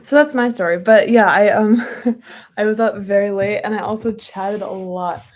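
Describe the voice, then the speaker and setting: A young woman with a hoarse voice, chatting casually and reading a book aloud, with frequent ums and likes. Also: mid-range voice, moderate pace.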